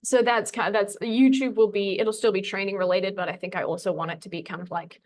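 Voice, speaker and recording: A woman's voice: medium pitch, steady tone, brisk, a little mellow. A woman talking casually as a podcast guest, informal and self-deprecating, phrasing things loosely. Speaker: podcast guest, fitness coach. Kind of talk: casual conversational talk.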